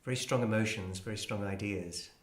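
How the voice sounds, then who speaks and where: Male presenter, low voice, scholarly and measured, explaining classical music in an echoing room. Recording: roomy, echoing space.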